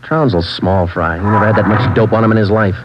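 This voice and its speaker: Deadpan, very low-voiced police sergeant played by a man, 1940s radio drama, lo-fi transcription. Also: fast, heavy background noise, natural voice. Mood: terse. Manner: terse, deadpan, matter-of-fact. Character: terse male police detective sergeant, flat and unemotional. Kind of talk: 1940s radio acting and narration.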